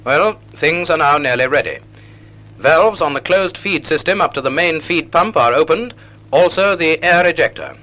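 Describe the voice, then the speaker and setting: Male, 1940s instructional film narrator. Slightly high, crisp-edged bright tone, measured didactic delivery, vintage soundtrack. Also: lively intonation, rough recording.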